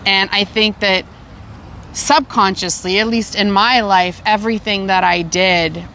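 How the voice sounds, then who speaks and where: A woman's voice: medium pitch, steady tone, a little slow, pushed and bright, a little hiss or hum. A middle-aged woman from Canada, hosting a casual podcast chat. She talks animatedly and repeats words while she searches for them. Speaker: podcast host.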